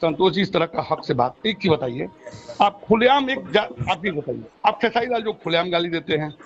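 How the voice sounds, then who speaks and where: A man's voice: high-pitched, expressive, moderate pace, soft background music. A man with a Bhojpuri-region Hindi accent in a phone argument, interrupting and repeatedly demanding to be listened to. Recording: muffled, lo-fi recorded phone call.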